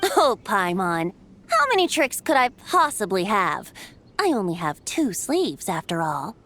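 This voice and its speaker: A woman voicing a young male wind god and bard for a video game, gentle and playful, with theatrical, poetic, lilting phrasing. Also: mid-range voice, huge pitch swings, measured pace, full character voice. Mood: teasing. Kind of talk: video game character acting. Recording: music behind the voice.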